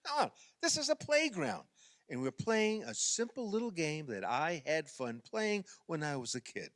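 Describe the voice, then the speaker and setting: Guy acting exasperated stammery man in a radio play, highish voice, really animated, dry sound. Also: normal speed, no echo. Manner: stammering, insistent, explaining. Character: exasperated man coaxing a woman through a playground basketball game.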